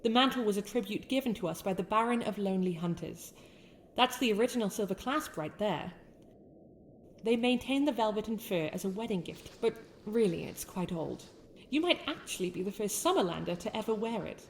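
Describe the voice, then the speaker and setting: A woman's voice: mid-range voice, expressive, fairly quick, a little hiss or hum, natural voice. A woman with a British accent playing a haughty young winter princess in a scripted ASMR roleplay, speaking in formal, courtly phrases. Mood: fond, soft. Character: haughty sharp-tongued young winter princess with a softer, regretful side.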